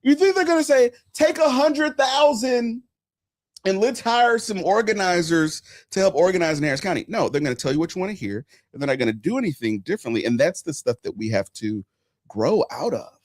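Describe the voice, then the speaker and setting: A man of forty hosting a casual political chat, telling stories animatedly, swinging between loud and hushed. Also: sits high, animated, brisk. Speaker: podcast host.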